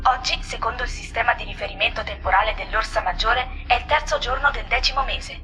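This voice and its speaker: Lady playing a chirpy literal computer assistant, highish voice, super formal announcements, music bed under it, audio drama. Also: quick pace, close to an everyday voice. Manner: formal, scripted announcements, obliviously cheerful. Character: polite, chirpy, literal-minded female digital assistant.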